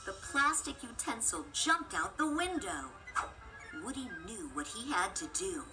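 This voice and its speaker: Female children's story narrator: scripted, expressive, lively pitch, music underneath. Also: a little slow.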